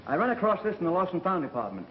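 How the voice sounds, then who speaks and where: A man acting a polite, self-assured gentleman bringing news, in a 1930s film style on an old soundtrack. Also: high-pitched, big pitch swings, rapid-fire, lo-fi sound, background noise. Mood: smooth, informative.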